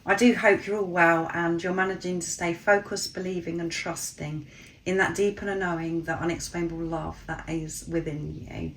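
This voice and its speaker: Woman, low and croaky from a cold, soft affirming card reader, faint hiss behind. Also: fairly even intonation, moderate pace, poor audio. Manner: gentle, affirming, addresses listeners as souls. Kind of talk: spiritual card reading to camera.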